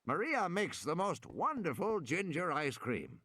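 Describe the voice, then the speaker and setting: A male narrator acts out an elderly audiobook gentleman in his seventies, genial yet stubborn. He speaks with swooping, animated pitch and lingers sentimentally over his words, insisting as he goes. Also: unhurried, breathy edge, dry recording, full character voice. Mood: genial, insistent. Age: 70s. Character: stubborn, sentimental old man, genial rich host. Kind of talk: audiobook character acting.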